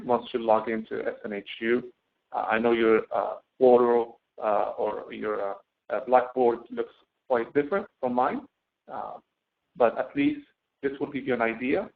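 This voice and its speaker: A man with a creaky, gravelly voice at a middling pitch talks students through an on-screen tutorial. He is an instructor who speaks haltingly, repeating words as he goes. The audio is narrow and thin, like a phone line. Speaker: course instructor.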